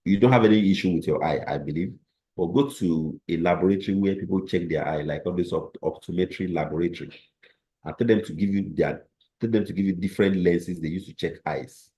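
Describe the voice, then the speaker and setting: A man's voice: low voice, fairly quick, dark tone. A man hosting a live trading class, bluntly answering viewer comments.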